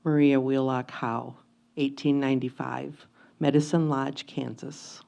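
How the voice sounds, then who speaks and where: A woman giving a solemn, measured dramatic reading of a first-person historical memoir. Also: a bass voice, level tone, creaky, mellow. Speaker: reader of historical letters in reader's theatre.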